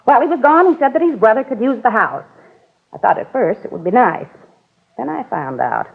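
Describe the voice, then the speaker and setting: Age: older. Figure: a gossipy elderly neighbor. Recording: tinny old radio. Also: female voice, fairly high pitch, lively intonation, fairly quick, vocal fry, slightly rough, full character voice. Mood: chatty. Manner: rambling, gossipy. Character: chatty older female neighbor, cat lover, nosy. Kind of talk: 1950s radio drama acting.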